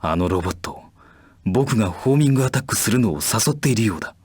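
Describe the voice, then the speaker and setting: A cool, guarded anti-hero hedgehog from a video game. He mutters in a low, slightly husky voice at a very rapid clip. The delivery is terse. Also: animated, a little mellow, no room sound. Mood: suspicious. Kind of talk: video game character voice lines.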